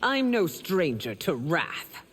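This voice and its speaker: A woman playing a regal, stern empress in a video game, speaking formally and with measured command. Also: fairly low pitch, sing-song. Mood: stern, wrathful. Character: regal, stern warrior empress, compassionate but wrathful. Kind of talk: dramatic video game acting. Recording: long reverb, faint background noise.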